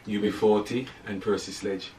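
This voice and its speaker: Male guest, younger adult, lowish voice, conversational, echoey room with faint noise. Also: fairly even intonation, normal speed, mellow, recorded from a distance. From Jamaica. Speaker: band frontman, interview guest. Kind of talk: casual interview chat. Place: small room, indoors.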